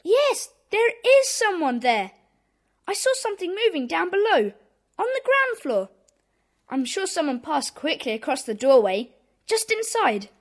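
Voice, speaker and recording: A woman playing a brisk, sensible schoolboy club leader in a children's audio drama. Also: extremely high pitch, very animated, no echo, clean studio sound, full character voice. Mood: curious.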